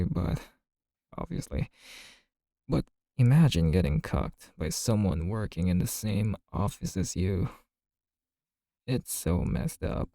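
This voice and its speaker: A man playing a chatty, easygoing bartender in an ASMR roleplay, telling gossipy stories in a casual, friendly, unhurried way. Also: low voice, creaky, dark tone, dry recording, clean studio sound, exaggerated voice.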